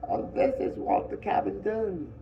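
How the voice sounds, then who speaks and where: A man reading aloud who voices an anxious, fussy plump schoolboy in a high, strained boyish voice. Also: very animated, unhurried, gravelly, highs cut off, heavily acted. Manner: high, strained boyish voice with working-class grammar.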